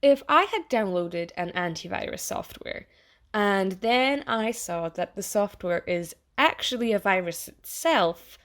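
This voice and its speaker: Slow-paced, earnest AI voiced by a woman. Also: mid-range voice, lively intonation, a bit bright. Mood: dismayed, wry. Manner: conversational, wry, halting, emphatic pauses. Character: earnest artificial intelligence, fond of yet disappointed in humanity. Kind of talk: audio theatre monologue addressed to the listener.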